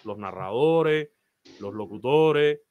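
A man's voice: medium pitch, expressive, takes it slowly, lo-fi sound. A man in his thirties with a Cuban Spanish accent, a baseball announcer talking earnestly about his career and giving advice on a video call, with frequent "eh" fillers. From Cuba. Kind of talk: interview guest telling his career story. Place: small room, indoors.

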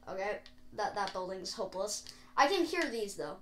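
A woman's voice: medium pitch, reverberant, background noise. A woman talking casually with a friend as co-host while playing a video game. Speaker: gaming video co-host and player. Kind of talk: casual gameplay chat.

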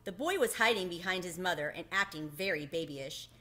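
A middle-aged woman reading a children's story aloud in an animated storytelling manner. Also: lowish voice, a little fast. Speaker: book reader. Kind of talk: expressive children's read-aloud. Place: home room with bookshelves, indoors. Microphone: webcam at desk distance.

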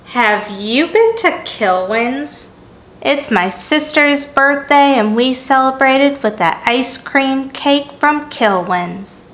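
Woman, thirties, food vlog host, slow measured delivery, echoey home room. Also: high voice, lively intonation, fairly bright tone. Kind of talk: scripted vlog narration and casual food review.

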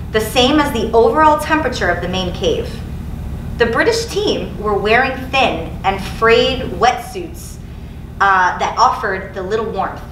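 A woman, around her thirties, reading narration aloud from a book as a teacher would. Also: on the high side. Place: indoor school studio, seated. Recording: roomy, distant mic, clearly noisy.

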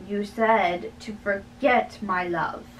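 A young woman playing a lovesick, earnest young man, impatient and pleading, in a halting dramatic play reading in a bedroom. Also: medium pitch, steady tone, a little hiss or hum, voice pushed down, natural voice. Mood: pleading, subdued. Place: small bedroom, indoors. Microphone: camera a few feet away. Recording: distant mic in a bedroom.

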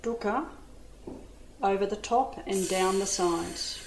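A woman's voice: medium pitch, slow pace. Chatty Australian woman doing a cooking demo. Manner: chatty, enthusiastic, addresses viewers as ladies. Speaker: cooking demonstrator. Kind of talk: cooking demonstration. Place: home kitchen studio. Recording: echoey kitchen with light noise.

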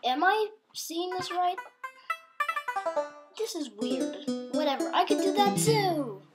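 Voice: extremely high pitch, very animated, slow. A child improvising an amateur voice-over for a cartoon. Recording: music behind the voice.

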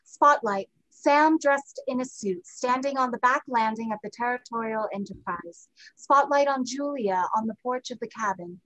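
A woman's voice: a little high, normal speed, fairly bright tone, poor audio. A woman reading stage directions as scripted narration over a video call. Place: home room on video call.

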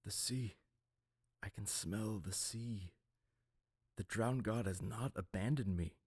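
A man's voice: fairly low pitch, slow, husky, no room sound, clean studio sound, voice pushed up. A man voicing a frail, fervent male priest who proclaims his faith in an audiobook.

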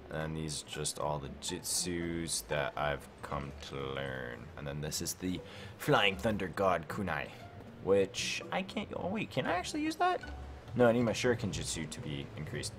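Young man, deep voice, lively swinging intonation, rambling let's-play commentary, desk mic. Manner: rambling, self-questioning, lots of "like" and "I don't know". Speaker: gaming YouTuber. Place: bedroom at home, late at night.

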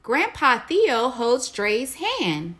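A woman reads a children's story as narrator. Her voice is fairly high and lively in melody, and she takes it slowly and deliberately, with clear expression.

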